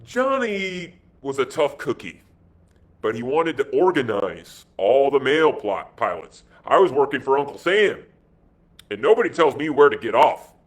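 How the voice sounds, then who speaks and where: A man's voice: medium pitch, big pitch swings, unhurried. A man voicing a tough American pilot in an adventure story, wary and plain-spoken, with breezy slang. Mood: defiant.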